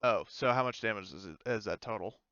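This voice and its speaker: Bright-voiced man running a tabletop game. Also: on the low side, fairly quick, no room sound, dull audio. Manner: hesitant, thinking aloud while checking rules. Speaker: game master. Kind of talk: casual tabletop roleplaying game narration.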